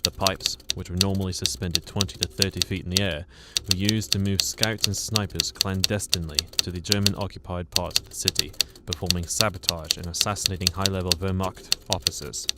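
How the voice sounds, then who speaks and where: A man's voice: sits low, fairly even intonation, brisk, hardly any pauses, lightly acted. A man playing an earnest, polite wartime soldier in a radio drama. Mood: sober narration. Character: earnest, polite male soldier recounting his wartime story. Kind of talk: radio drama dialogue and scripted narration. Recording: very noisy amateur radio play recording.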